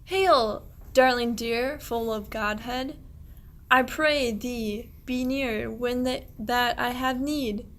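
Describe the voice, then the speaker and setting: Woman acting a high-voiced, kindly, slow shepherd. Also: fairly bright tone. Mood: tender. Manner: declamatory rhymed verse. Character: kindly, devout medieval shepherd. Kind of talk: amateur radio play, verse mystery play.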